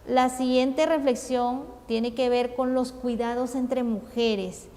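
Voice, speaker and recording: A woman from Guatemala with a Guatemalan Spanish accent, giving a formal conference talk in a measured, deliberate way with frequent hesitations. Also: a little high, steady tone, mellow. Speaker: conference speaker. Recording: long reverb, faint ambient noise.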